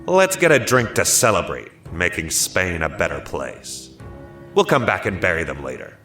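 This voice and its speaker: A man's voice: sits low, very animated, moderate pace, bright, forceful tone, roomy sound, faint music behind, full character voice. A man voicing a cruel, swaggering soldier with a callous, dismissive manner in an audio story. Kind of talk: dramatized audio story dialogue.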